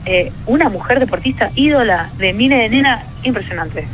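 Argentine woman on a radio show, pretty high voice, earnest and a bit halting, faint hiss behind. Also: expressive, poor audio. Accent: Argentine Spanish. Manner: earnest, thoughtful, many restarts and fillers. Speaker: guest, sociologist and field hockey player. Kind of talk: radio interview answers.

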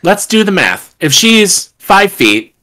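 A man's voice: high voice, big pitch swings, slow pace, sharp tone. A man co-hosting a casual script read-through, chatting and dropping wry, joking asides. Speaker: podcast co-host and reader. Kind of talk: casual chat and script reading.

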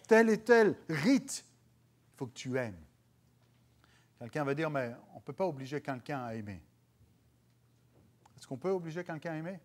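A man in his thirties with a French accent preaches from a church stage before dark curtains. His voice rises with emphasis whenever he quotes scripture. He speaks into a headset mic. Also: on the high side. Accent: French (France). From France. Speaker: pastor. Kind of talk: sermon.

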